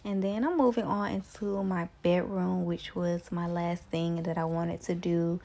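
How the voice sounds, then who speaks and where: A young woman narrating her cleaning routine in a relaxed, chatty way, with many casual fillers. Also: lowish voice, unhurried. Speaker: lifestyle vlogger.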